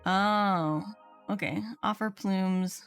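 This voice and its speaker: A woman's voice: medium pitch, drawn-out words, gravelly, clear, resonant tone. A woman game streamer chatting casually and reading aloud as she plays, muttering to herself now and then. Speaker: livestreamer. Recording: game music behind voice. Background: video game music.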